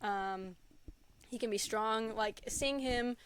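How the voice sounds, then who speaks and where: A woman's voice: a little high, takes it slowly, slight background noise. A woman telling anecdotes as a podcast guest in a casual, enthusiastic and earnest way. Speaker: podcast guest, Pokémon content creator; store employee. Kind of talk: casual interview chat, anecdotes.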